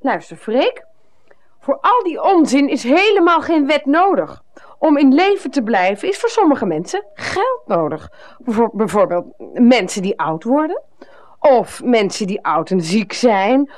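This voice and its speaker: A woman's voice: fairly high pitch, sing-song, a little slow. An actress playing a fussy, dramatic, complaining family woman in a radio play, with theatrical exclamations. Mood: insistent.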